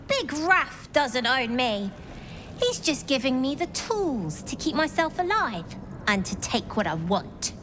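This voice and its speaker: A woman's voice: sits high, pushed and bright, heavily acted. An actress playing a brash, ambitious young female thief who leads a street gang. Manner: cocky, brash, street-smart. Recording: game audio with noise behind.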